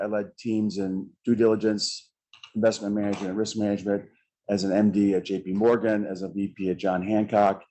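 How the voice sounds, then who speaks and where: A middle-aged man introducing himself as a panelist at a conference table, measured and filled with "uh" pauses. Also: lowish voice, a little mellow, poor audio. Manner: measured, many "uh" fillers, gestures with hands.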